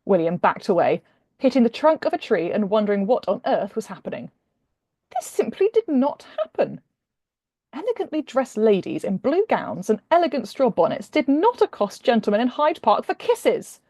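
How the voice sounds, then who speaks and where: Female author-narrator, expressive romance reading, slightly gravelly mellow tone, dry close sound. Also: a little high, normal speed, no room sound. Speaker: author reading from own novel. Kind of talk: expressive audiobook-style narration of a humorous romance.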